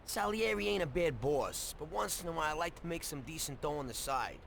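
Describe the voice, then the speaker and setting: Lively, scheming American gangster. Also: male voice, fairly high pitch, fairly quick, gravelly, slight background noise. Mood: persuasive, defensive. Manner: slangy, cajoling, streetwise talk. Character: scheming, greedy, chummy small-time mobster in 1930s America. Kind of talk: video game cutscene acting.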